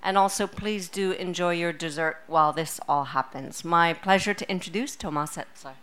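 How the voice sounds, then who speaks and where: A woman's voice: on the low side, moderate pace, fairly bright tone, slight background noise. A woman hosting a club event, giving a prepared and courteous introduction of a guest speaker.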